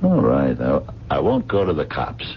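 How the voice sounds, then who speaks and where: An actor in a radio drama playing a blunt, protective, skeptical friend with an exasperated, streetwise manner. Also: male voice, extremely low pitch, wildly swooping pitch, normal speed, heavily acted. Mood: insistent. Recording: dull, noisy 1970s radio recording.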